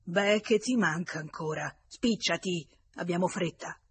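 A woman's voice: fairly low pitch, steady tone, moderate pace, breathy edge. A woman voicing an irritable, brusque man scolding a friend in an audiobook. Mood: furious, impatient. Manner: brusque, exasperated, emphatic.

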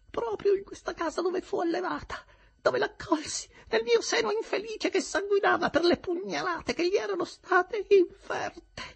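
A bitter, half-mad old woman, as a male narrator puts her on, wailing in a piercing, extremely high voice. She stretches every word slowly in theatrical outbursts, her tone swooping wildly up and down with a gravelly rasp. An audiobook voice soaked in grievance. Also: heavily acted. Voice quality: shrill, wailing. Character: bitter, furious old woman, wild with grief. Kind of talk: audiobook reading of a classic novel.

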